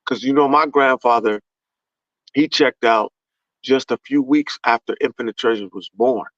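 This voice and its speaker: A man's voice: mid-range voice, moderate pace, fairly bright tone, dry recording. Fervent man on a dull, dry line. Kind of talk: impassioned conversational talk.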